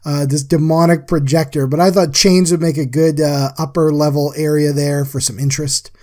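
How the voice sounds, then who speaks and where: A man's voice: fairly high pitch, flat delivery, normal speed, a little mellow. A man giving a casual, chatty voice-over as an artist recapping a drawing, talking informally to viewers. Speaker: artist and livestream host.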